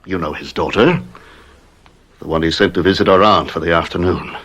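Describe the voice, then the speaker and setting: An actor playing a proud, jealous husband in a radio drama, melodramatic and anguished. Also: male voice, sits low, normal speed, a bit bright, slightly rough. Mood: accusing.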